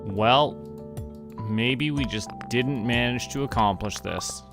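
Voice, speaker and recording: A man's voice: medium pitch, slow, over music. A man giving casual video game livestream commentary, musing aloud in short remarks. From Canada. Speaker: streamer.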